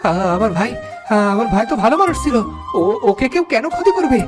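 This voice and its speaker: A man's voice: extremely high pitch, big pitch swings, a little fast, over music. A man voicing a worried villager in a cartoon, pleading and stammering over a lost brother. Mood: anxious pleading. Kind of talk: cartoon melodrama acting.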